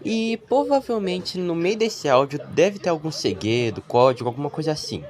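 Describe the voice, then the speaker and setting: Brazilian accent, casual explanatory narration, video creator, medium pitch, highly varied melody. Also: very animated, moderate pace, a little mellow. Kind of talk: casual explanatory commentary voice-over.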